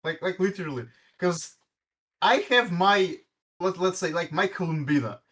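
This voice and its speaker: A man with a Russian accent chatting about a game, halting and restarting often. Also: sits high, a bit bright. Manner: halting, many restarts and stumbles. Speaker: guest player. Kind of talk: casual gaming chat.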